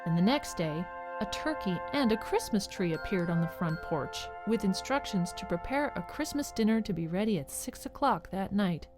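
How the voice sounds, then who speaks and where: Narrator, female: scripted storybook delivery, medium pitch, clear music bed behind. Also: animated, normal speed.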